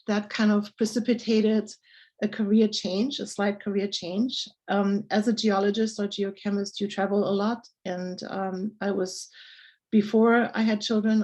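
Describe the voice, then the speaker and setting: A woman in her sixties from Germany with a German accent, a scientist speaking as a guest in an interview, pausing often with many fillers. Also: medium pitch, a little mellow, rough recording. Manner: halting, many pauses and fillers. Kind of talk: interview chat.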